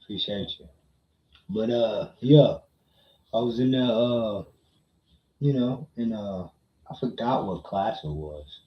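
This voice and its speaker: A man's voice: fairly low pitch, slow, long pauses. A man livestreaming a casual personal story to his chat, slangy, repeating phrases for emphasis and often checking in with listeners. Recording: muffled, roomy echo.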